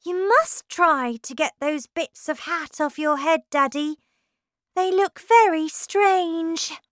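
A woman's voice: extremely high pitch, takes it slowly, fairly bright tone, no echo, studio quality, voice pushed up, heavily acted. Woman doing a chirpy bookish little girl. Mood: polite. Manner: polite, eager, chirpy.

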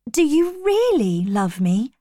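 A woman's voice: sits high, big pitch swings, slow pace, warm tone, dry recording, clean studio sound, pitched above the performer's own voice, exaggerated voice. A woman voicing an earnest young governess, polite and pleading but strong-willed, in a storybook reading. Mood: hopeful.

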